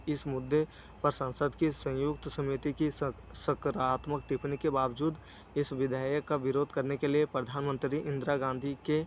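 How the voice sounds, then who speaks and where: Flat-voiced man reading news, dull recording. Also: medium pitch. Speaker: news channel narrator. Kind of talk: news report read from a script. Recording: dull lo-fi sound with faint noise.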